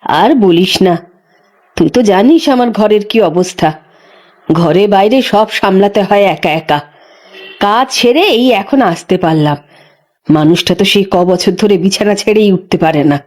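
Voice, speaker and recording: A woman's voice: mid-range voice, lively intonation, normal speed, darkish tone, close to an everyday voice. A woman voicing a weary, careworn village midwife in a dramatised horror story, speaking in a colloquial, lamenting way. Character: weary, careworn village midwife, a caring but burdened wife.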